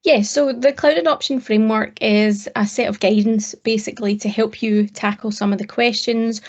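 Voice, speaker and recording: A woman in her thirties with a Scottish accent, a guest calmly explaining technical ideas in a measured, conversational way with occasional ums. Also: fairly high pitch. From Scotland. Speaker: guest, cloud advocate. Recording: dull lo-fi audio.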